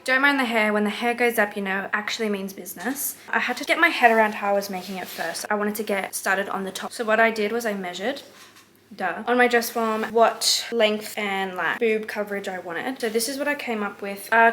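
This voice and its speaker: A young woman chatting through a sewing project, excitable and full of "like" fillers, swearing now and then. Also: fairly high pitch, slight background noise. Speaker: sewing vlogger. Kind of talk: casual sewing vlog chat.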